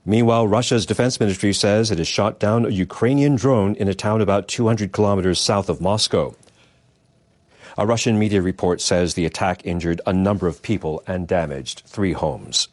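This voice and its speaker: A man's voice: low voice, normal speed, a little mellow, dry recording. A man reading scripted international news as a newsreader. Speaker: news reader.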